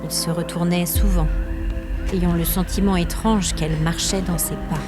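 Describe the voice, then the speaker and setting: A woman narrating scripted fiction as a storyteller, with measured, literary phrasing. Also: lowish voice, steady tone, music in the background.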